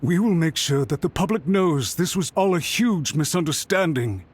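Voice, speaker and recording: A man's voice: a little high, expressive, normal speed, darkish tone, breathy edge. An actor playing an anxious, apologetic male official who speaks with deference and pleads for a second chance, in a studio game. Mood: pleading. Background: music.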